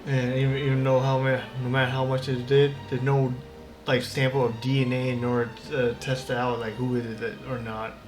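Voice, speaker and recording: A man giving casual, halting reaction commentary as he streams a game. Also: mid-range voice, monotone. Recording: game audio and music behind.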